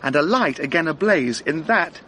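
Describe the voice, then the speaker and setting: An actor playing an earnest, dutiful French police sergeant in a radio drama, speaking formally as if giving a step-by-step report. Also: male voice, fairly high pitch, animated, normal speed, bright, forceful tone, a little hiss or hum.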